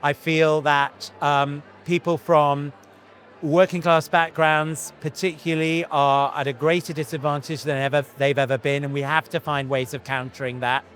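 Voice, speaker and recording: A man's voice: fairly high pitch, level tone, moderate pace, fairly bright tone. A man in his fifties, an actor answering interview questions in a thoughtful, earnest way, often restarting and saying "you know". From Iran, raised in Britain. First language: Farsi.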